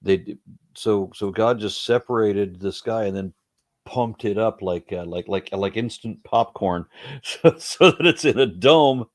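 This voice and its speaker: Male guest, low voice, dry sound, wry debater. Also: unhurried, dry recording. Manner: wry, argumentative, talks in long explanatory runs. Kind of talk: casual video interview.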